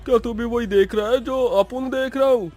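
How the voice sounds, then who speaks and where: A man voicing a tipsy, stubborn drunkard in a cartoon story, with drawn-out, comic delivery. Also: very high voice, fairly even intonation, a little mellow. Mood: amazed. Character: tipsy, stubborn drunkard who guards his liquor. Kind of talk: animated moral story dialogue.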